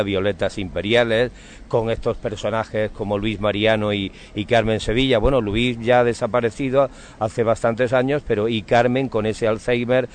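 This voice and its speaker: A man speaks as a local radio interviewer. He is courteous and effusive, favouring florid phrases in a conversational style. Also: on the low side. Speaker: radio host interviewing on the street.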